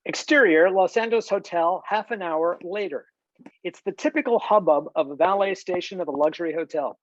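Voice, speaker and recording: A narrator reads scene headings and stage directions from a script. The pitch is medium. The sound is dry, with the slightly dull, lo-fi quality of a video call. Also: brisk, no echo.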